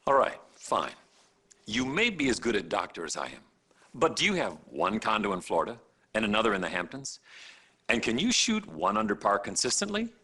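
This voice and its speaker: Pompous, swooping-voiced hospital chief. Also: male voice, lowish voice, huge pitch swings, a little fast, bright, forceful tone, slightly rough, exaggerated voice. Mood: smug, boastful. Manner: pompous, self-satisfied. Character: smug, vain, lazy hospital chief of staff. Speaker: actor in game cutscenes. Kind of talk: live-action video game cutscene acting.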